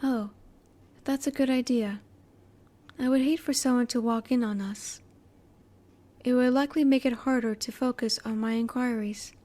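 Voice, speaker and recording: A woman acts out a female alien in an intimate audio roleplay. Her voice sits slightly high yet is very soft-edged and relaxed. She is politely clinical and inquisitive, keeping her emotions in reserve as she speaks in measured, formal phrases. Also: close to an everyday voice. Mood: curious, polite.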